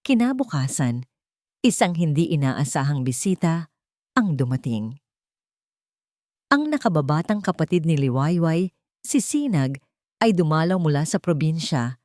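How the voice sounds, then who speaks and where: A woman's voice: sits low, sing-song, a little slow, pauses often, a little mellow, dry recording, clean studio sound. A woman with a Filipino accent narrating a scripted dramatic story.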